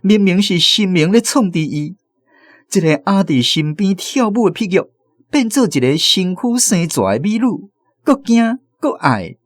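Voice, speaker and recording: An expressive narrator telling a story for a radio drama in a dramatic style. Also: medium pitch, moderate pace, no room sound.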